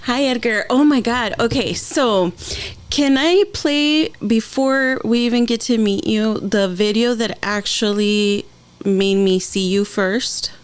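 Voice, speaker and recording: A woman's voice: a little high, slow pace, clean tone. Bubbly woman hosting a podcast. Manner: bubbly, mixes in Spanish phrases. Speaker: podcast host. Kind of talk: casual interview chat.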